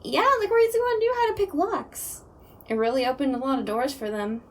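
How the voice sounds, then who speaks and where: A high-pitched, childlike prankster ghost acted by a woman, chirpy and eager. The audio roleplay voice sits off the mic with clear background noise. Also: lively intonation, fairly quick, recorded from a distance. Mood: playful, excited. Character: goofy, childlike ghost who loves puns, named Chris.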